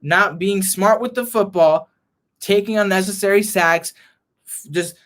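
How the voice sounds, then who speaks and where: A young man ranting as a frustrated sports fan vlogger, hoarse and losing his voice, reading and answering chat. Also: high-pitched, a little slow, a bit bright. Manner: frustrated, heated rant.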